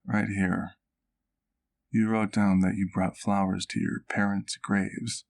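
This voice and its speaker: Man acting a slow, low-voiced, gentle vampire doctor. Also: flat delivery, creaky. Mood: concerned, troubled. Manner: soft, measured, careful, reassuring. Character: gentle, protective male vampire doctor and housemate. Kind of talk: ASMR roleplay acting.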